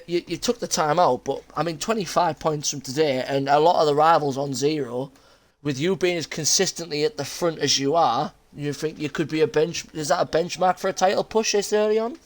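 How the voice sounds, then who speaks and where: A young man hosting post-race interviews on a racing league stream, chatty and jokey. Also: fairly high pitch. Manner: chatty, laughs a lot, jokey. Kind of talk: casual live-stream interview chat.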